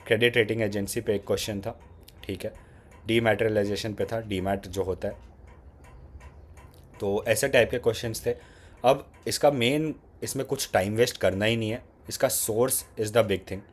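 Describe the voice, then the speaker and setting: A man's voice: fairly low pitch, a little mellow. A man with a sore throat and an Indian English accent, giving casual, conversational exam advice and switching between Hindi and English. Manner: conversational, mixes Hindi with English phrases, repeats "ठीक है". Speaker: exam prep YouTuber. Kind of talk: casual exam strategy talk.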